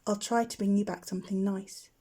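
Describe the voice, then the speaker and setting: Lady doing a motherly owl for a kids book, brisk, bit stern, soft breathy tone. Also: mid-range voice, fairly even intonation, lightly acted. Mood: reassuring.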